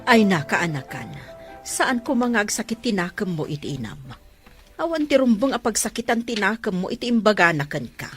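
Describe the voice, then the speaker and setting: A woman's voice: medium pitch, sing-song, moderate pace, faint music behind, lightly acted. A Filipino woman playing a worried, disapproving mother in an audio drama, speaking in a weary, reproachful manner. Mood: hurt, reproachful. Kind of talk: emotive audio drama acting.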